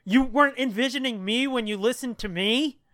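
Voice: medium pitch, normal speed, fairly bright tone, no echo, studio quality. A podcast co-host chatting casually and swearing freely. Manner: profane. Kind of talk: casual chat.

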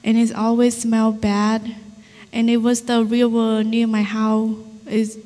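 Soft slow woman. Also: on the high side, monotone. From Cambodia. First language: Vietnamese. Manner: halting, simple phrasing. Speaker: guest. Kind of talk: testimony interview. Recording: long reverb of a hall.

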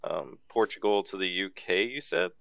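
Deep-voiced sardonic co-host. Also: male voice, normal speed, gravelly, a bit bright, smooth, dry recording, dull audio. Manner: sardonic, blunt. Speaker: podcast co-host. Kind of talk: casual chat.

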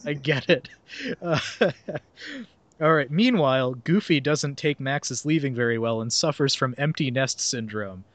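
Sarcastic young guy hosting a podcast, laughs thru his lines, mid pitch. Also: measured pace, fairly bright tone, dry recording. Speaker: podcast host. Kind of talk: casual podcast chat, reading a summary aloud.

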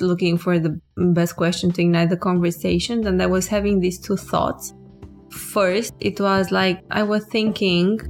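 A woman co-hosting a podcast in casual conversation, with a low, gravelly voice, talking reflectively and searching for words. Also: a little mellow. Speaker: podcast co-host.

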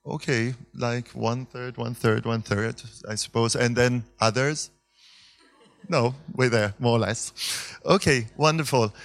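Swiss guy moderating a panel, mid voice, hedges a lot, informal and a bit halting. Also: medium pitch, fairly bright tone. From Switzerland. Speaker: panel moderator, marketing agency founder. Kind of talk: informal spoken introduction to a live panel. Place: talk in front of an audience.

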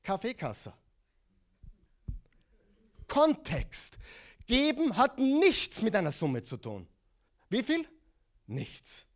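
A middle-aged preacher with an Austrian accent and a fairly high voice. He preaches with lively energy, mixes stories with questions, and has the congregation repeat key words back to him. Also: drawn-out words, long pauses. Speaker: pastor. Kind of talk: sermon.

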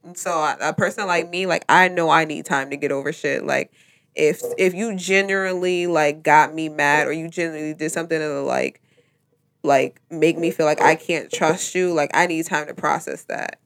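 A blunt, bossy woman talking in a low, gravelly voice, with no room sound at all. She swears freely and talks over others in a loose group chat. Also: fairly even intonation, normal speed, fairly bright tone, no echo, studio quality. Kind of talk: casual chat.

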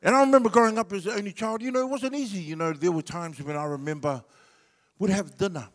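A middle-aged man with a New Zealand accent and Samoan as his first language tells a loose, rambling comic story on stage. His voice sits a little high for a man, and long reverb from the hall trails behind each phrase. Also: quick pace. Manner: laid-back and rambling, with many fillers. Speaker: comedian. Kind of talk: stand-up storytelling.

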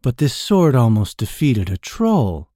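A man voicing a smooth-talking, sly young male rogue who speaks in a purring, grandiose way in a storybook reading. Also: mid-range voice, very animated, measured pace, warm tone, no room sound, clean studio sound.

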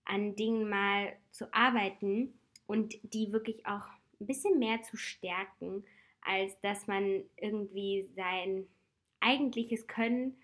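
A woman in her twenties with a slight cold talks in a relaxed, personal way. She is reflective and chatty and laughs softly now and then. Her voice sits at an ordinary pitch for a woman. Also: slow pace. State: has a cold. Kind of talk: casual personal advice talk.

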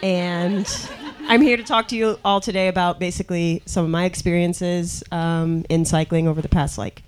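A woman's voice: fairly low pitch, fairly even intonation, moderate pace, a little hiss or hum. A woman cyclist introducing herself on a live panel, casual and full of fillers like um and like.

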